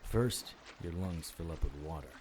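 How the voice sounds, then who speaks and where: An actor playing a wry, world-weary supernatural investigator, narrating a noir audio drama in clipped, deadpan phrases. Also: male voice, deep voice, moderate pace, noisy background, close to an everyday voice. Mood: grim, wry.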